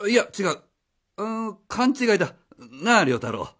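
A man's voice: high-pitched, sing-song, normal speed, a bit bright, husky, exaggerated voice. A man playing a flustered, evasive, cheeky father who drives a taxi, stammering and blustering in a radio drama. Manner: stammering, blustering, casual speech. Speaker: voice actor.

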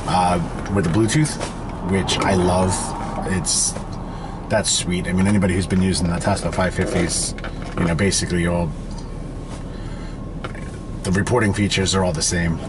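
A man in his thirties chatting casually and haltingly as an HVAC technician hosting a vlog. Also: deep voice, noisy background. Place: inside a work van and outdoors in a yard.

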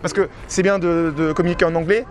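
A man's voice: on the high side, fairly even intonation, fast talker, crowd noise. A man, chatting informally and explaining things like a dating coach during a casual debrief outdoors. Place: outdoors on a city street. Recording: street noise with traffic.

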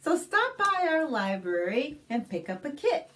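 An American woman reads to young children as a storytime host, with a fairly high voice that swings widely in pitch. She speaks very slowly and deliberately, expressively pointing things out in simple words. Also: very animated, a bit bright. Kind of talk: reading a picture book aloud to young children. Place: small indoor room, plain backdrop.

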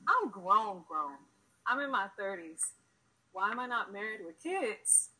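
A woman's voice: medium pitch, very animated, measured pace, bright, forceful tone, slight background noise, low-quality recording. A woman in her thirties delivering a blunt, sassy, emphatic comedic monologue to camera. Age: 30s.

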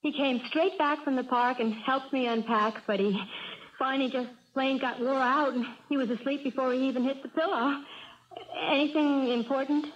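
High-pitched, doting young wife played by a woman. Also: steady tone, moderate pace, natural voice. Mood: chatty. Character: tender, doting young wife soothing her husband. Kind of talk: 1970s radio drama acting. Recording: muffled old radio broadcast, faint hiss.

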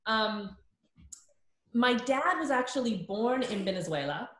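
A woman in her thirties hosting a video-call conversation as a course instructor, chatty and thinking aloud with quick asides. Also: on the high side, animated, fairly bright tone, roomy sound. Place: living room with bookshelves. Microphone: laptop webcam on a video call.

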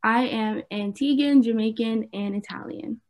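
A teenage girl talking through a laptop webcam on a video call. Her voice sits a little high, and she speaks casually with a stream of fillers. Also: moderate pace, a little mellow. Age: teens. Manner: chatty, many "like" fillers. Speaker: panel moderator, club co-lead. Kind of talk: casual student panel discussion.

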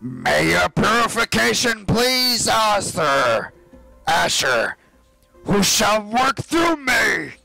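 A man voicing a grand, fanatical fantasy game king with a loud, drawn-out, declamatory delivery. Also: high-pitched, very animated, gravelly, soft background music, voice pushed up, full character voice, rough recording. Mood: exalted, shouting.

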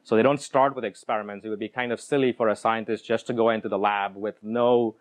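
A man's voice: on the low side, fairly even intonation, fast talker. A middle-aged man giving a conference keynote talk in a room with an audience, speaking into a handheld microphone, explaining ideas with frequent restarts and repeated words.

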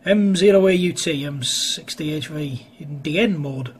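High-pitched, husky man. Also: moderate pace. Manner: chatty, rambling, hesitant delivery. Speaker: amateur radio operator. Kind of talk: casual two-way radio chat. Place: indoors at a home radio station.

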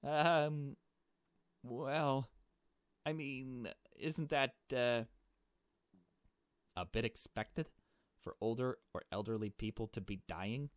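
A man voicing a hesitant, worried young woman in a visual novel, halting and questioning. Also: mid-range voice, fairly even intonation, clear, resonant tone, dry recording, clean studio sound, close to an everyday voice. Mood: awkward.

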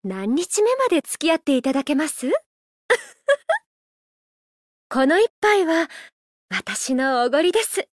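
A woman voicing a gentle, playful idol who loves a drink, speaking politely and teasingly in a mobile game. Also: high-pitched, very animated, dry recording, clean studio sound. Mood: tender, teasing. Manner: polite, unhurried, teasing, softly flirtatious. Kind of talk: Japanese mobile game character voice lines.

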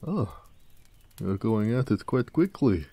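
A man playing a humble, affectionate orc blacksmith in an intimate roleplay audio drama, musing softly in slightly old-fashioned phrasing. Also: on the low side, sing-song, breathy edge, full character voice. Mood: humble, warm. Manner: unhurried, soft-spoken, musing, with small chuckles and old-fashioned phrasing.

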